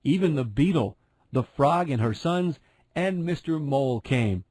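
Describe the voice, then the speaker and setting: A man's voice: medium pitch, lively intonation, a little slow, darkish tone, no echo. A man narrating a fairy tale as a scripted storyteller.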